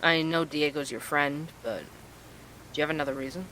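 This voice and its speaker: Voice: medium pitch, normal speed, noisy background, close to an everyday voice. An actor playing a tired, earnest investigative journalist who hosts a podcast, in naturalistic audio drama. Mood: gentle, concerned.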